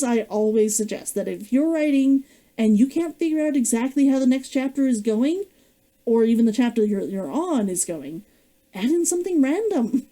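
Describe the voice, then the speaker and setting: A woman's voice: sits high, warm tone. A woman author reading her own novel aloud, then chatting about writing and laughing often. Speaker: author and streamer.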